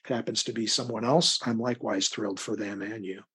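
Low, husky-voiced middle-aged man. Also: fairly even intonation, quick pace, pushed and bright. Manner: rambling, often repeats words mid-sentence. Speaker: crime novelist being interviewed. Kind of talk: conversational interview answers with anecdotes.

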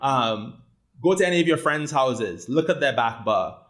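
A man's voice: medium pitch, lively intonation, a little slow, a bit bright. A man with a Trinidadian accent, a guest in a casual interview, talking animatedly, repeating words as he builds his points and often tagging them with "right?". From Trinidad and Tobago. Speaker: guest, rum company founder.

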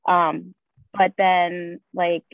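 A woman's voice: lowish voice, little pitch movement, extremely slow, no echo. A woman whose first language is Spanish, a guest nurse chatting casually with lots of fillers. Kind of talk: casual conversation. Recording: tinny phone-like call audio, dry.

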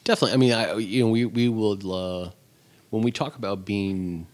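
Very deep-voiced middle-aged man. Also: steady tone, darkish tone. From Wisconsin, USA. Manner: halting, many restarts and fillers. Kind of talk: relaxed conversational interview.

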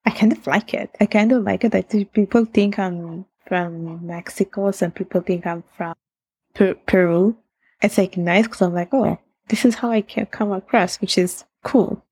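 A woman's voice: medium pitch, normal speed, darkish tone, breathy edge, no echo. A young woman with an Italian accent, reflective in a casual interview, laughing often and restarting sentences. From Italy. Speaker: guest.

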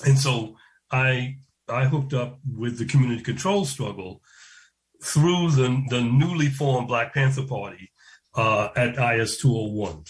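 A man tells his story as an interview guest. He talks slowly at a middle pitch, pausing and repeating words as he gathers his thoughts. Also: rough recording. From New York. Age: 70s. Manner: slow, reflective, repeats words when restarting. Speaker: guest, education activist. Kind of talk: interview, personal recollection.

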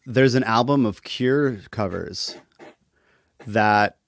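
A man talking casually as a podcast guest, thoughtful and halting, with a wry streak. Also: on the low side, no room sound, clean studio sound. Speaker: interview guest, musician.

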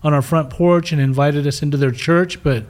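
A man's voice: a little high, fairly even intonation, moderate pace, a little mellow. A middle-aged man who grew up in the United States and first spoke German, telling his life story as a podcast guest in an open, rambling way. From United States (raised in Utah). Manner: open, rambling, restarts words. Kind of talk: candid storytelling interview.

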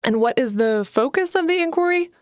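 A woman's voice: high voice, animated, a little fast, no echo, studio quality, voice pushed up. A woman reading an audiobook, voicing an eager, earnest young woman writer who pleads and bristles. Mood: anxious. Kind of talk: audiobook character voice.

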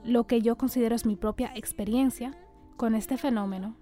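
A woman hosting a podcast who narrates and interviews a guest in a calm, measured way. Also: a little high, soft background music. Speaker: podcast host.

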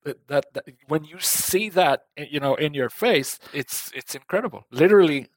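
A man's voice: a little high, animated, normal speed, no room sound. Chatty Israeli-raised man with dry sound. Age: 40s. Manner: chatty, laughs often, repeats words as he restarts. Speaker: guest, tech company founder. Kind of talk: casual interview conversation.

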